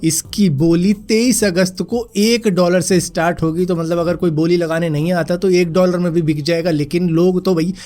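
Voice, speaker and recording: Hoarse chatty man, higher-pitched voice. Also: steady tone, moderate pace, hardly any pauses, warm tone. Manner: chatty, addresses viewers as friends. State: hoarse throat after fever. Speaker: news vlogger. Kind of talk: casual Hindi news roundup.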